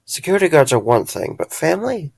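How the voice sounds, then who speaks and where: A man as a gravelly, caring, wry friend. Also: on the high side, big pitch swings, fast, a little mellow, no room sound, exaggerated voice. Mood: concerned. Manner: earnest, hesitant, dryly wry. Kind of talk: audio drama acting.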